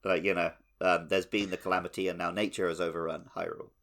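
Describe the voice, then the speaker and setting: A man with a notably deep voice co-hosts a gaming podcast. He speaks in a casual, conversational way, describing and explaining as he goes. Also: level tone, fast, a bit bright. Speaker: podcast host. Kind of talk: casual video game discussion.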